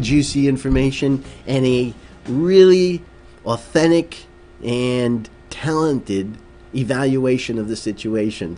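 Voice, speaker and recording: A man's voice: mid-range voice, a little mellow. A man hosting a casual late-night livestream, talking loosely with many ums and uhs and reading viewer messages aloud into a desk microphone. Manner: rambling, many fillers, reads chat aloud. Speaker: livestream host.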